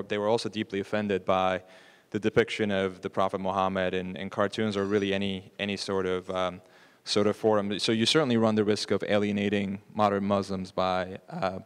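A man's voice: lowish voice, smooth. A man in his thirties, from Turkey, moderating a public forum with polite, hesitant questions on a handheld microphone. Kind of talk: moderated interview. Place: hotel ballroom before an audience. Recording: long hall reverb.

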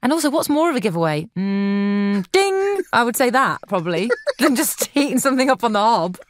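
A woman's voice: fairly high pitch, very animated, a little fast. A woman co-hosting a podcast, teasing and laughing as she comments on the story. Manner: playful, laughs often, teasing. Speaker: podcast co-host. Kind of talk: casual chat, joking commentary.

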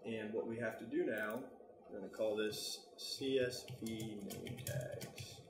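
An American man giving a casual software tutorial, talking informally and thinking aloud as he works. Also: fairly low pitch, fairly even intonation, a little slow, reverberant, music in the background. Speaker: tutorial presenter.

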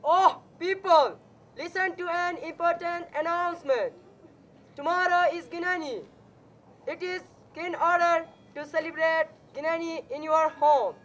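A student actor playing a village town crier, proclaiming slowly and loudly, in a dull lo-fi recording. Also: female voice, extremely high pitch, a little hiss or hum. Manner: slow, loud proclaiming.

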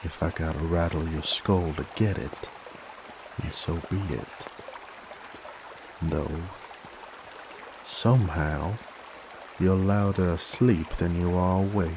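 A gruff werewolf bounty hunter for an audio drama. He drawls slowly in an American Southern accent, folksy and threatening, with a very low, gravelly voice. A stream trickles clearly behind him. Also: background noise. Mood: irritated, threatening. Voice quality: very deep, gravelly. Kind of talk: roleplay audio acting, drawling cowboy talk. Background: flowing water ambience.

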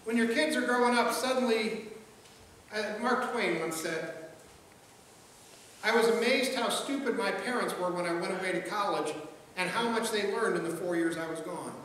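A high-pitched, middle-aged man preaches at a pulpit, chatty and loose, doubling back on his words. He is picked up from a distance in a big church hall with a long, ringing reverb and a faint room hiss. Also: fairly bright tone. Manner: conversational, repeats words as he goes. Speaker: pastor. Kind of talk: sermon with jokes and quoted voices. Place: large church sanctuary, at a pulpit. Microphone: pulpit mic, filmed from a far camera. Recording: echoey hall, far-off mic, faint ambience.

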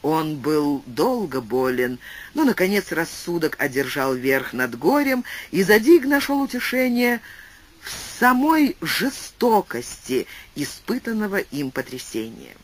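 A man reading a classic philosophical tale aloud as an audiobook narrator, with expressive, swooping delivery and shifting pace. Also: a little high, unhurried. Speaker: audiobook reader.

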